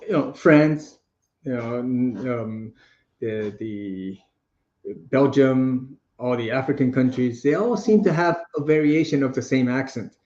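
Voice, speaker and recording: Male co-host, mid pitch, chatty and rambling, muffled roomy audio. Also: a little slow, darkish tone. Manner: chatty, rambling, many fillers and restarts. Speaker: co-host of a live chat on multilingual kids. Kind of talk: casual live chat.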